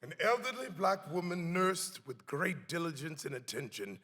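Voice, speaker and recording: A man giving a dramatic reading of a historic account, theatrical and deliberate, with swelling emphasis. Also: on the high side, big pitch swings, a bit bright. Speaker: actor reading aloud. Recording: long hall reverb.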